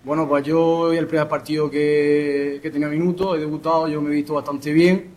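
A young man, a footballer, answering post-match interview questions in a modest, halting way. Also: a little high, level tone, darkish tone. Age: young adult. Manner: halting, modest, pausing to restart.

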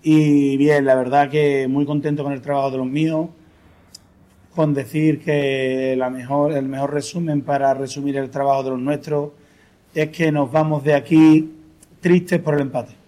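A man, a football coach, calmly breaking down a match in a post-game interview. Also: a little high. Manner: measured, analytical, with restarts.

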